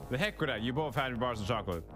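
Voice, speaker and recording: A man's voice: mid-range voice, animated, rapid-fire, music in the background. A man from Norway giving playful, theatrical, joking commentary as he streams a game.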